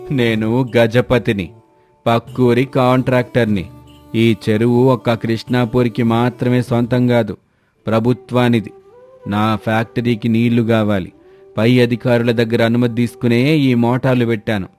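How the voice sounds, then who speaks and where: A man's voice: mid-range voice, flat delivery, moderate pace, darkish tone, faint music behind, natural voice. A man voicing an arrogant, greedy contractor who threatens and orders people around in a moral story. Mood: threatening. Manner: commanding, insulting. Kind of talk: acted storybook dialogue.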